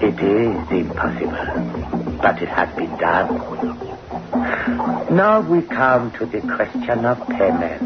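Calm sinister soul-buyer, very low voice, slow ominous delivery, old radio horror, music behind. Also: male voice, lo-fi sound, heavily acted. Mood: mocking, commanding. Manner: slow, deliberate, ominous formal speech. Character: sinister soul-buying sorcerer, calm and mocking. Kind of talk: 1960s radio horror drama acting.

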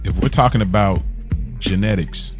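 A man's voice: lowish voice, moderate pace, music in the background. A man co-hosting a podcast in earnest, casual chat, often repeating and rephrasing himself as he goes. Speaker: podcast co-host.

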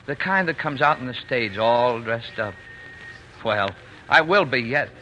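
A man's very bright, forward voice as a fond, dreamy working-class dad. He is folksy and soothing, given to playful boasting, on a faintly noisy old radio drama broadcast. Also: medium pitch, lively intonation, measured pace, lo-fi sound. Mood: wistful. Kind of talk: 1940s radio drama acting.

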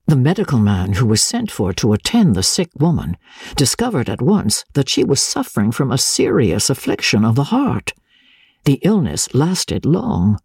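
A woman narrator with a husky, low voice reads literary fiction deliberately and formally. Her pitch moves expressively through long sentences, and the recording is close and dry. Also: warm tone, dry recording. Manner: measured, deliberate, formal storytelling delivery. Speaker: audiobook narrator.

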